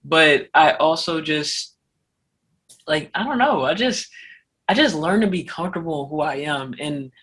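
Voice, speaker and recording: A woman co-hosts a casual podcast. Her voice is low enough that people often take it for a man's, but its tone is bright and lively. She talks hesitantly and restarts her sentences often. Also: unhurried, breathy edge. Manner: hesitant, many restarts and fillers. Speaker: podcast co-host.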